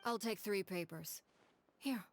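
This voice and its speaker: An actress playing a cool, composed woman leader in a video game, speaking formally with measured authority. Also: medium pitch, a little hiss or hum. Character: cool, commanding woman crime-family leader, composed and shrewd. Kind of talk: scripted video game dialogue. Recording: game audio with music underneath.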